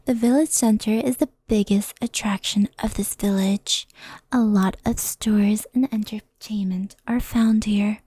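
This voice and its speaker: A woman playing a gentle, anxious, centuries-old fox spirit in an ASMR roleplay, speaking softly and often whispering close to the microphone. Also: medium pitch, no echo. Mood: calm. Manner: soft, breathy, often whispered, unhurried.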